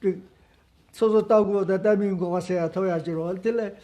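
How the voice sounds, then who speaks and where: A man's voice: high voice, fairly even intonation, brisk, warm tone. A man giving a religious teaching as a Buddhist teacher.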